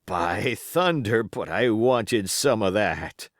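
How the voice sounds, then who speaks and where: A man voicing a rough, wheedling wounded pirate sailor from an adventure storybook, with drawled, folksy speech and rustic grammar. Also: lowish voice, animated, takes it slowly, no echo, studio quality. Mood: gruff, complaining.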